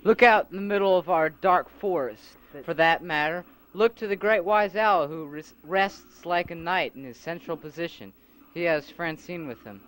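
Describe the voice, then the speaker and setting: A man's voice: on the high side, normal speed, smooth, pitched above the performer's own voice, close to an everyday voice, low-quality recording. A man voicing a cheerful old man who speaks eagerly and persuasively in an amateur audio drama. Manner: bright, enthusiastic and persuasive.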